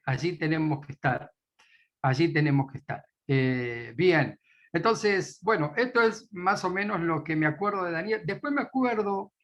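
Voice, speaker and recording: A man's voice: fairly high pitch, lively intonation, moderate pace, a bit bright, highs cut off. A man with an Argentine Spanish accent introducing a guest at a church meeting, hesitant, with many fillers. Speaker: meeting host.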